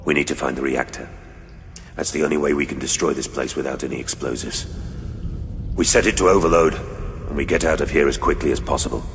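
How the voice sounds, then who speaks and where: An actor voicing a grim, resolute space marine sergeant in an audio drama, speaking in terse, commanding phrases. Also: male voice, very deep voice, brisk, pauses often, in a large hall, soft background music, pitched below the performer's own voice.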